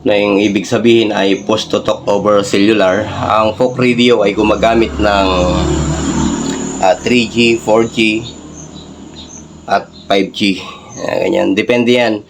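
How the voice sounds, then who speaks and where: A Filipino man with a fairly low voice walks viewers through a hands-on tech demonstration. His delivery is informal and explanatory, with halting phrases. Faint background noise sits behind his voice. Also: flat delivery. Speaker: vlogger, radio hobbyist.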